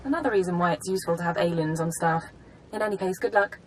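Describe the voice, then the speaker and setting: Woman acting a fast-talking, businesslike intelligence handler. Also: fairly low pitch, a little hiss or hum, natural voice, low-quality recording. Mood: brisk, urgent. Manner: crisp, businesslike briefing. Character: brisk, official female intelligence handler, composed. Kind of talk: video game mission briefing.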